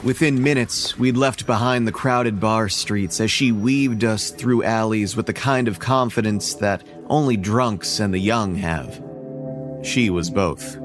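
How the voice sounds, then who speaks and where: Casting: an actor as a dry, sardonic man in his thirties telling his own tale, lowish voice, audio drama narration. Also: unhurried, over music, close to an everyday voice. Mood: wry. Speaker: first-person narrator.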